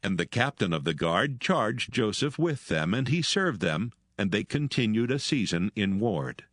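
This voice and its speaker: A man narrating dramatised scripture in a solemn, measured manner. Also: lowish voice, big pitch swings, fairly bright tone, dry recording, studio quality.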